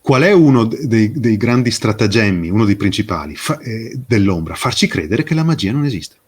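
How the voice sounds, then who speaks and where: A man speaking as an interview guest, explaining with passion and emphasis, posing rhetorical questions and pausing for effect. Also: lowish voice, animated, husky. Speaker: interview guest, fairy tale expert. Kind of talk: impassioned explanatory interview talk.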